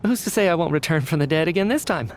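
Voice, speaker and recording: A composed young scientist. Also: male voice, on the high side, expressive, fast talker, slight background noise. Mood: calm. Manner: composed, reassuring, reasoned speech. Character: calm, rational young male scientist with amnesia. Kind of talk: video game voice acting.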